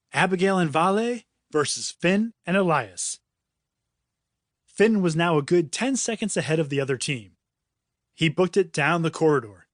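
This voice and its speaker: A man's voice: fairly high pitch, a bit bright, dry recording, clean studio sound. A man reading a children's audiobook in a dramatic, playful storytelling manner. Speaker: author reading his own audiobook. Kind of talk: scripted children's story narration.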